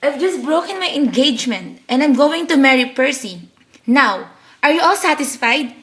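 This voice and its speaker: A woman's voice: high voice, animated, normal speed, fairly bright tone. An actress playing an emotional, easily wounded woman in a radio play. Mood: furious, wounded. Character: bitter, hurt young woman, later gentle middle-aged daughter.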